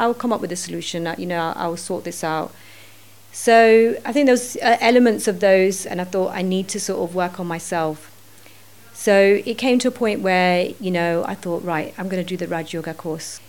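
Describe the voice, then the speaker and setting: A middle-aged woman, a podcast guest, talking earnestly and thinking aloud, often pausing with fillers. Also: medium pitch, a little mellow. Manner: earnest, thinking aloud with many "you know"s. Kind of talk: reflective podcast conversation.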